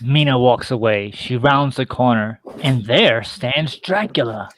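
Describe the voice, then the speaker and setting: A man's voice: medium pitch, lively intonation, unhurried, a bit bright, slight background noise. A man narrating a horror play, reading stage directions in a terse, theatrical way.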